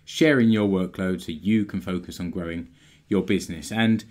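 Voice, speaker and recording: A man with a fairly low voice, a little hoarse and croaky from a sore throat, hosts his own business podcast. He walks listeners through practical points in a plain, explanatory manner. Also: fairly even intonation, moderate pace, darkish tone. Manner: explanatory, plain-spoken, occasional restarts. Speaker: podcast host.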